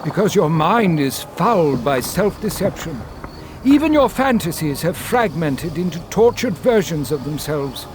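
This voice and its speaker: An actor voicing a weary, grave creature who gives solemn, oracular counsel in a dark fantasy video game. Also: male voice, a little high, big pitch swings, unhurried, noisy background. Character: weary, grave wise creature guiding a girl.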